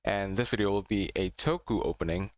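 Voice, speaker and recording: A man's voice: sits low, a little fast, no echo. A young man from Indonesia, Indonesian as his first language, chatting casually and nostalgically as a reaction video host, rambling with fillers.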